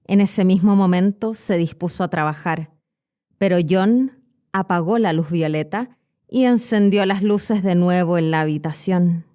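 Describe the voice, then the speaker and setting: A woman with a Chilean Spanish accent, a podcast host and Spanish teacher, narrating a horror story in a clear, measured way. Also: on the low side, warm tone.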